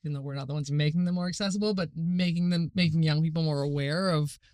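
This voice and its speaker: A man's voice: fairly high pitch, fairly even intonation, fast, a little mellow, dry recording, studio quality. A man guest reflecting earnestly on work he is proud of, often hedging with "I think". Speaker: agency leader, guest. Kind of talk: casual panel conversation.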